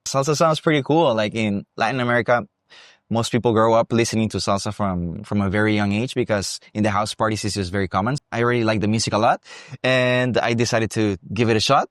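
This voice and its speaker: Young man, Costa Rican accent, lowish voice, thoughtful, restarts phrases, dry close sound. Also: brisk, no room sound. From Costa Rica. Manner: relaxed, thoughtful, with frequent restarts. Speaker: guest, salsa dancer and teacher. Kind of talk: casual interview. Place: salsa congress venue.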